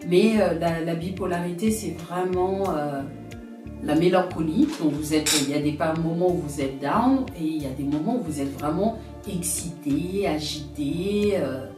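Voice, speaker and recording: A woman in her thirties talks through a personal story, pausing and searching for her words. Her voice sits a little low and reaches the mic from some distance, in a roomy space. Soft music plays faintly underneath. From France. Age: 30s. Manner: reflective, hesitant, searching for words. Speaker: guest. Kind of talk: personal testimony in an interview.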